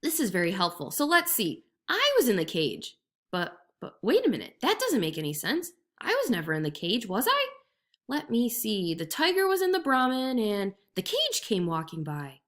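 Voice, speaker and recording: Woman putting on a squeaky fussy jackal, all confused and polite, voice leaping way up and down, a crafty storybook critter. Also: wildly swooping pitch, normal speed, a bit bright. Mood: muddled. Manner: dithering, confused, overly polite. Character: fussy, muddled male jackal, sly and polite.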